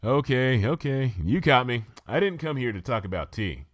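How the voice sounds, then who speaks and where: A man's voice: medium pitch, lively intonation, normal speed, dry recording, studio quality, voice pushed up, lightly acted. A man voicing an earnest, easily embarrassed young female unicorn with a hesitant, awkward way of talking. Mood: sheepish.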